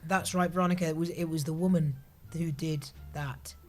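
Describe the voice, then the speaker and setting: A man's voice: a little high, steady tone, fairly quick, over music, close to an everyday voice. A man playing a timid, literal-minded junior office worker, nervous and politely awkward, in an audio sitcom.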